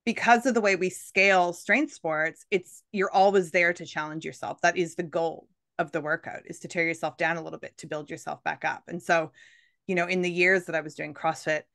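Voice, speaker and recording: A woman's voice: lowish voice, fairly even intonation, a little fast, gravelly, a bit bright, dry recording, studio quality. A woman in her thirties hosting a podcast interview on a video call from a room with bookshelves, speaking earnestly and with encouragement. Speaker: podcast host, coach for midlife women.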